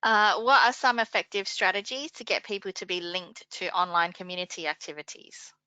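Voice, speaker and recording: A woman's voice: medium pitch, fairly even intonation, a little fast, bright, forceful tone, smooth, dry recording, lo-fi sound. A woman moderating an online webinar, politely reading out questions and signing off in an orderly way. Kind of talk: scripted-sounding question reading and sign-off.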